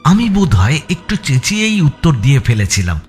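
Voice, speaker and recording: A man narrating a suspense audio story in the first person, with expressive, dramatic delivery. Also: a little high, a little fast, breathy edge. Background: background music.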